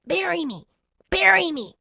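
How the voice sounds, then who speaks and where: Woman voicing tiny, bossy, high-pitched magic beans. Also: very animated, a little slow, vocal fry, bright, forceful tone, no room sound, clean studio sound, exaggerated voice. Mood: urgent. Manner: pushy, insistent.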